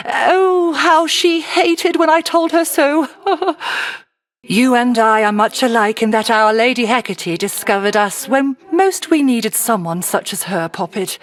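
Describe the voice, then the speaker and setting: An eccentric, affectionate island witch for a fantasy game. Her voice sits high and swoops freely through a wide, lilting range. She is effusive and sentimental, musing in airy, theatrical, old-fashioned phrases. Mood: nostalgic, fond. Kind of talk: theatrical fantasy video game dialogue in archaic English.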